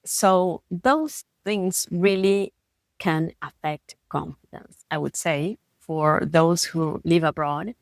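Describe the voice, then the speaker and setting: Argentine woman, spanish is her first language, hosting a podcast, kinda low voice, dry close mic. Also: no room sound. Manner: thoughtful, earnest, with slow deliberate stresses. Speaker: podcast host. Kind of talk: reflective solo podcast talk.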